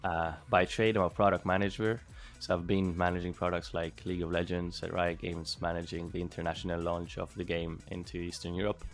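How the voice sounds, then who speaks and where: Low, flat-voiced man. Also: brisk, faint music behind. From Hungary. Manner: thoughtful, with frequent fillers and restarts. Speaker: interviewee, former bootcamp student. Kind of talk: casual interview answers.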